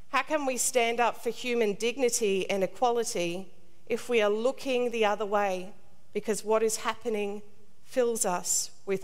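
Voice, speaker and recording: A middle-aged woman priest delivers a scripted sermon in a measured, solemn, deliberate way. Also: a little high. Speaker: priest, preacher. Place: large stone cathedral, indoors. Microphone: lectern gooseneck microphone. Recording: long cathedral reverb.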